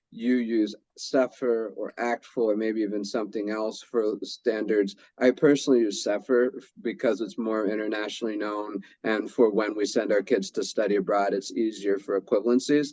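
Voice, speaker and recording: A man's voice: fairly low pitch, fairly even intonation, moderate pace, darkish tone, dry recording. A man presenting a workshop who guides the discussion and rephrases what participants say. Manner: sums up and rephrases what others say. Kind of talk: leading a group discussion. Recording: dull lo-fi sound.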